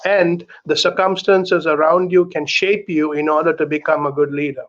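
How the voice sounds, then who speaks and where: A man's voice: fairly high pitch, steady tone, normal speed, fairly bright tone, muffled recording. A middle-aged man from Sri Lanka talking earnestly as a guest, often checking in with "right?", heard over a video call. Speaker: author and guest. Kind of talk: candid conversational interview talk.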